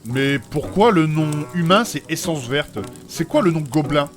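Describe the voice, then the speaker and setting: An actor playing a learned fantasy priest who explains things in a formal, politely pedantic, storytelling way in a comedy audio drama. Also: male voice, on the high side, lively intonation, moderate pace, close to an everyday voice. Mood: lively, curious. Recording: faint music behind the voice.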